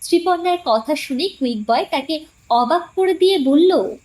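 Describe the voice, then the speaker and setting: A woman's voice: sits high, animated, moderate pace, a little mellow. A woman narrating a scripted audio story in a dramatic storytelling style.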